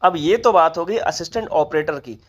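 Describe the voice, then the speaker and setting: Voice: mid-range voice, moderate pace, lo-fi sound. A presenter giving an informational explainer, methodically reading out details and figures. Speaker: exam update presenter.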